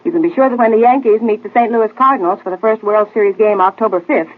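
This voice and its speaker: An American actress playing a spirited, teasing young detective's assistant in a 1940s radio drama, pert in manner. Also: female voice, medium pitch, quick pace, close to an everyday voice. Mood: bright, announcing. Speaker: detective drama co-star who introduces guests. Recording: tinny, band-limited old radio recording.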